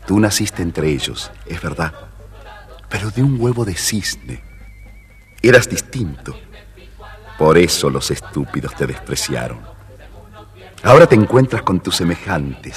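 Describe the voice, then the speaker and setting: A man's voice: low-pitched, sing-song, measured pace, faint music behind. A man narrating a scripted children's story expressively as storyteller.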